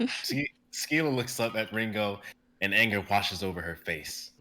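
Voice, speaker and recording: Guy narrating a live reading, low voice, forceful, steady. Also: measured pace, rough recording. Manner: steady, plain storytelling.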